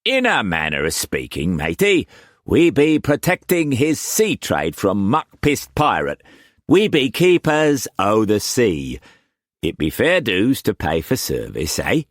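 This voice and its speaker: A man voicing a boisterous pirate chief who speaks rough Cockney slang, jovial but menacing. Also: lowish voice, huge pitch swings, takes it slowly, no echo, clean studio sound, pitched above the performer's own voice, exaggerated voice.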